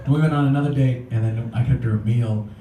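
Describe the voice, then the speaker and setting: A man doing a stand-up comedy set for a live club audience, animated and jumping into high voices for the people he quotes. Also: fast talker, background noise. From Mexican-American. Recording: roomy, distant live-club mic with crowd laughter.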